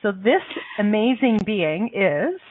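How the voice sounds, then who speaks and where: A woman's voice: mid-range voice, sing-song, slow. A woman hosts her radio show in easy, chatty talk, now and then tripping over a word. Her voice comes through thin and narrow, like a phone call. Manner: chatty, stumbles over words. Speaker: radio show host, coach. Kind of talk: casual radio chat. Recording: phone-line call-in audio.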